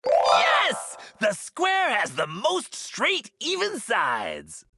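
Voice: sits high, wildly swooping pitch, drawn-out words, noisy background, full character voice. An actor playing a cheerful cartoon star who hosts a children's game show in a showy, encouraging way. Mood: upbeat, encouraging. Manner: showy, encouraging announcer delivery. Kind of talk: children's educational cartoon acting. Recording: music behind.

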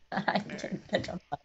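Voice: takes it slowly, fairly bright tone. A young gamer chatting casually as a co-player in a gaming video. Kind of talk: casual chat while gaming. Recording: dull, clearly noisy mic with clicks.

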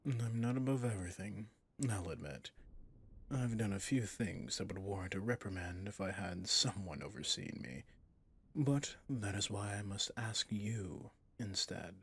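Man acting slow, breathy, suave villain boss. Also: on the low side. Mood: gentle, courteous. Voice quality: breathy, husky, often near a whisper. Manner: slow, courtly, seductive. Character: suave possessive villain boss wooing a favorite servant. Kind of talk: intimate ASMR roleplay.

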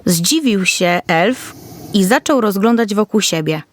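A woman's voice: medium pitch, animated, a little slow. A woman storyteller reading a scripted fairy tale for children.

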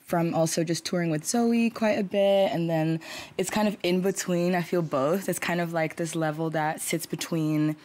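A woman's voice: on the low side, fairly even intonation, moderate pace, darkish tone. A woman from Brazil, a Portuguese speaker, answering interview questions in a rambling way full of fillers. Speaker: musician guest.

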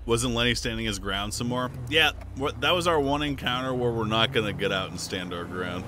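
A man's voice: mid-range voice, brisk, sharp tone, a little hiss or hum. A man streaming a role-play game, chatting casually, laughing a lot and swearing offhandedly. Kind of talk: casual gaming stream commentary.

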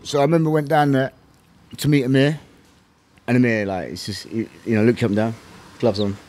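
Southern English male interviewee, middle-aged. Very quick delivery, street slang and swearing, animated anecdote style. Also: mid-range voice. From England. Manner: animated, slangy, sweary, acting out remembered exchanges. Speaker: interview guest, former kickboxing champion. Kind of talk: casual storytelling interview.

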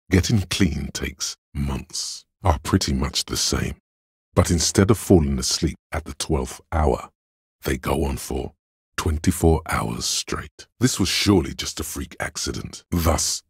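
A detective's inner voice of pure logic for a video game. It is exceptionally low and heavily husky, reasoning coolly and deliberately. The sound is dry and close, with every deduction laid out in a measured, analytical way. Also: male voice, no room sound, exaggerated voice. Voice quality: very deep, gravelly, husky. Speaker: voice actor. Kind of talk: scripted video game voice-over, inner-voice narration.

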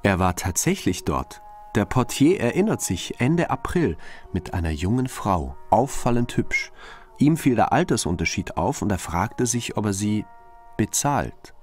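A man's voice: on the low side, sing-song, unhurried, a little mellow, soft background music. A man acting as a sober, questioning investigator in a crime audio drama. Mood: reporting.

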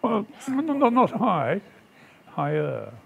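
Older man, contestant, polite, halting, gravelly voice, faint music. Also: high-pitched, big pitch swings, a bit bright, highs cut off. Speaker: game show contestant.

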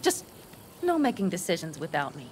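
A young nomad woman in a video game, low-pitched and very expressive. She is brisk, decisive and headstrong. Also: slight background noise. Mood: determined. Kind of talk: video game cutscene dialogue.

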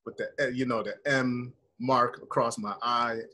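Animated American man telling stories casually. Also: mid-range voice, normal speed. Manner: animated, slangy, rambling with frequent "you know" and "like". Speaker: actor being interviewed. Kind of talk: casual chat, storytelling. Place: indoor room, video call. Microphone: video call.

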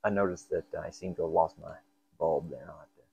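A man's voice: a bass voice, steady tone, fairly quick, vocal fry, dark tone, slightly rough, lo-fi sound, soft background music. A man giving casual hands-on repair narration, half talking to himself in fragments as he works in an indoor workshop. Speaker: hobbyist repair host.

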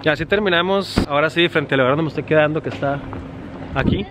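A man's voice: on the high side, quick pace, noisy background. A young man chatting to his viewers while filming himself at arm's length outdoors in a busy city and on a metro. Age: young adult. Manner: chatty, addresses viewers directly. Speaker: travel vlogger. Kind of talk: casual travel vlog. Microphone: handheld camera at arm's length.

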